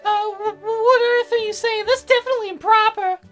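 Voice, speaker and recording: Man putting on a high-pitched, bitter teenage girl. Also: fairly even intonation, a little fast, soft background music. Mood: flustered. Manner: stammering when flustered, sharp and blunt. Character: frail fourteen-year-old girl patient, bitter and insecure.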